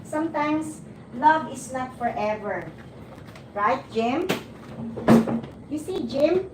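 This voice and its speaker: A woman's voice: high voice, expressive, heavy background noise. A woman in her forties from the Philippines, with a Filipino accent, hosting a livestream. She chats and teases her viewers, laughs often, and restarts her sentences. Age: 40s. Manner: chatty and teasing, laughs often, halting restarts. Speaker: livestream host. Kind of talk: casual chat with viewers. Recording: roomy, distant mic, noticeable background ambience.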